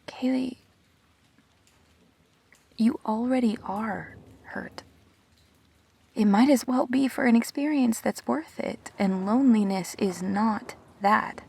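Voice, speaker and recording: Woman acting immortal best friend, audio roleplay, breathy, slight vocal fry, reflective, measured, earnest. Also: on the high side, darkish tone, full character voice.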